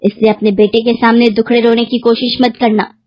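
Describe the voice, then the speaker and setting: A woman's voice: fairly high pitch, flat delivery, fairly quick, lo-fi sound, noisy background, lightly acted. A woman voicing a sly, bullying daughter-in-law who threatens and sweet-talks in a story. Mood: menacing.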